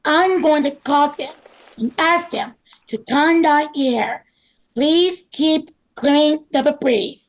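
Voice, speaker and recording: Woman playing an earnest, high-voiced male builder. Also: slow pace, low-quality recording. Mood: concerned. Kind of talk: table-read acting of a children's story.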